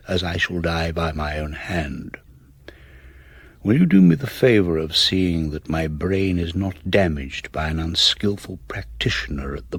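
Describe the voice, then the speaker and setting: Very deep, coldly arrogant English murderer. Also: male voice, slightly rough, dry recording, full character voice. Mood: proud, composed. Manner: precise, clinical, self-satisfied, unhurried. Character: cold, arrogant male surgeon murderer narrating his confession. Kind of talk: radio drama monologue, confession read as narration. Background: music.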